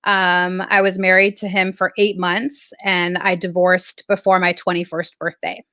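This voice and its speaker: A woman from Southern California, a guest artist, telling her life story in a reflective, halting way with many fillers. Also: on the low side, flat delivery, pushed and bright, no room sound. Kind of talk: casual interview storytelling.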